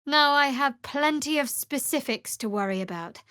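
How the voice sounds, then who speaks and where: A guarded, sardonic young cleric, higher-pitched. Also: female voice, expressive, pushed and bright, dry recording. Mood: dry, assured. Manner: dry, measured, wry delivery. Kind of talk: fantasy video game voice acting.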